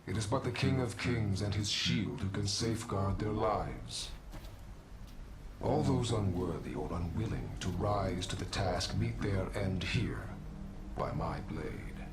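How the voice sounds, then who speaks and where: Grave ancient swordmaster, deep voice, formal menacing speech, roomy echo, music behind. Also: male voice, lively intonation, unhurried, heavy background noise. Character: stern ancient male swordmaster judging warriors. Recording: echoey game audio with music.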